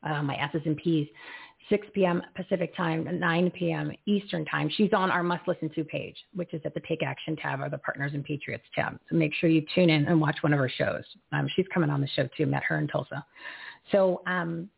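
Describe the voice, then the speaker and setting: A woman with a husky, gravelly voice, heard over a narrow, phone-like line. She hosts live radio in a loose, rambling way, losing her thread and laughing at her own slips. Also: low-pitched, fairly even intonation, brisk. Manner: rambling, loses her train of thought, laughs at herself. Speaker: radio show host. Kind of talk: casual live radio talk.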